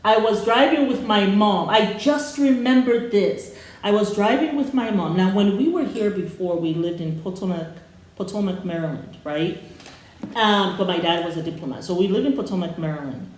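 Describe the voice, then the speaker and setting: A woman from Sierra Leone with a West African accent, chatting casually as a podcast co-host. She tells stories in an animated way and acts out the voices of the people in them. Her voice sits at a middle pitch, and it rings in a very echoey room. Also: normal speed, a little hiss or hum. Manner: animated storyteller acting out quoted voices. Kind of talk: casual storytelling chat.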